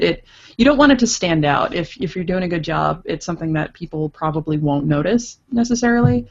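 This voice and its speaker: A woman's voice: lowish voice, dull audio. A woman explaining her work casually as an interview guest, in hesitant, stop-and-start phrasing. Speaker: guest, visual novel creator.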